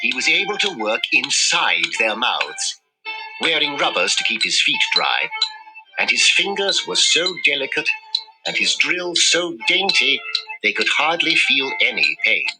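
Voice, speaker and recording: A man reading a children's story in an expressive, measured storytelling manner. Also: medium pitch, poor audio. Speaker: storybook reader. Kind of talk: scripted children's story narration. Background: music.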